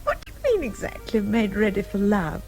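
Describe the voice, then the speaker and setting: A woman's voice: medium pitch, sing-song, normal speed, darkish tone, soft background music, lightly acted. An actress playing a poised, curious young English woman in a radio drama, with a wry, understated manner. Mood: playful. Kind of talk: British radio drama acting.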